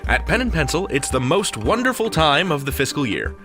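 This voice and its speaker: A man's voice: a little high, sing-song, brisk. A man hosting with playful scripted narration, theatrical and tongue-in-cheek in delivery. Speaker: podcast host and narrator. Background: faint music.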